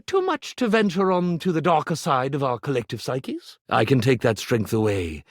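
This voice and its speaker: An actor playing a grandiose, melodramatic stage magician in a video game, with florid and pompous theatrical diction. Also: male voice, mid-range voice, sing-song, moderate pace, no room sound, clean studio sound. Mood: haughty, mysterious. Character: grandiose theatrical stage magician, living iron and ironing board. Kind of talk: video game character voice acting.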